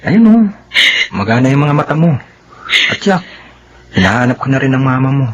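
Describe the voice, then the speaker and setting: A man's voice: medium pitch, sing-song, normal speed, background noise, natural voice. A man playing a supportive, earnest young man in a Filipino radio drama. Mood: earnest urging. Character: supportive young man, gently urging apology and amends. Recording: slightly dull radio drama audio.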